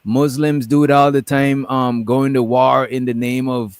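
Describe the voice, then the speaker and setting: A man's voice: medium pitch, flat delivery, unhurried, clear, resonant tone, dry recording. Casual man from Guyana, dry close sound. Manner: colloquial, reflective, often checking he's understood. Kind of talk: casual chat on a group livestream.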